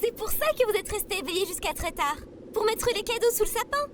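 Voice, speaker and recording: A woman playing a caring, innocent companion in a French audio drama, polite, soothing and expressive. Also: extremely high pitch, quick pace, over loud music. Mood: delighted. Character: caring, innocent female companion, brightly enthusiastic. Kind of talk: scripted French audio fiction acting.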